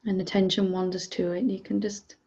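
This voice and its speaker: A woman leading a guided meditation as a teacher, giving gentle instructions calmly and unhurriedly in short phrases with long pauses. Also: medium pitch, husky, lo-fi sound.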